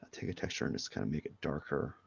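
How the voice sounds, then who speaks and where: A man's voice: rumbling low, expressive, fast talker, vocal fry, breathy edge. A man with a head cold, sniffling as he rambles loosely through a live drawing stream with many fillers. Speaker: artist streaming a drawing session. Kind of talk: casual live drawing commentary. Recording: dull lo-fi stream audio.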